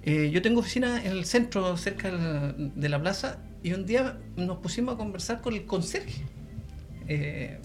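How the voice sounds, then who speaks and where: A man's voice: high-pitched, animated, moderate pace, soft background music. A man with a Chilean accent, a guest on a talk show, telling his story in an earnest way. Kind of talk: radio talk show conversation.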